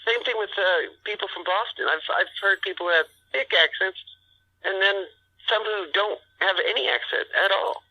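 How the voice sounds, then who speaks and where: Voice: mid-range voice, normal speed, husky, highs cut off. A host in casual chat, asking curious short questions.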